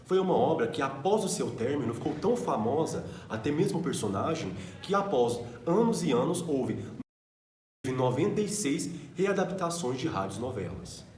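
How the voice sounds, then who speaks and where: A man's voice: medium pitch, sing-song, normal speed, darkish tone. A man with a Brazilian Portuguese accent presenting a student radio program as co-host, in a semi-scripted style. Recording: roomy echo, faint background noise.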